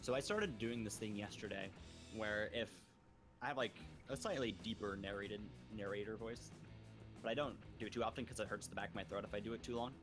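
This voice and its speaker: Male co-host, low voice, quick relaxed chat, music clearly under. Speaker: stream co-host. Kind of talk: casual live stream chat. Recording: music audible in background.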